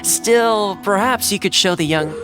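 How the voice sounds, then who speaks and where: A man playing an easygoing, diplomatic, smooth-talking young clan fixer in a video game. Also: high-pitched, measured pace. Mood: slyly courteous. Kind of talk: video game cutscene acting. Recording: music under the voice. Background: background music.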